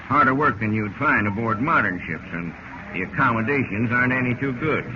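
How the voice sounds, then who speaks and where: Businesslike clipper captain, played by a man, steady and commanding, dull, noisy vintage radio sound. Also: mid-range voice, a little fast, close to an everyday voice. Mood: reassuring. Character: steady, weary, businesslike sailing ship captain. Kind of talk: 1940s radio drama acting. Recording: muffled, noisy old radio recording.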